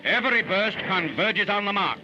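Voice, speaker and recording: High-pitched 1940s American newsreel man. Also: animated, normal speed, dull audio. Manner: dramatic, punchy delivery. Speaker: newsreel narrator. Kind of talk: 1940s newsreel narration, scripted. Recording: band-limited 1940s newsreel soundtrack, loud music. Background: loud newsreel music.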